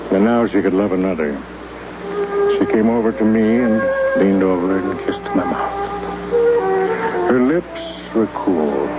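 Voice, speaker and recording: An actor playing a world-weary old fat man who narrates his own story in a 1950s radio drama, hard-boiled and wry. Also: fairly low pitch. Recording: muffled old radio broadcast with music.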